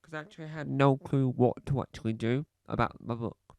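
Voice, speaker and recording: A man playing a self-important male celebrity podcaster, rambling and halting with a slight cough, speaking close to a dry mic. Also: medium pitch, creaky, background noise, close to an everyday voice. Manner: rambling, halting, fond of repeating phrases. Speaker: comedy podcast host character. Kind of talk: scripted comedy monologue.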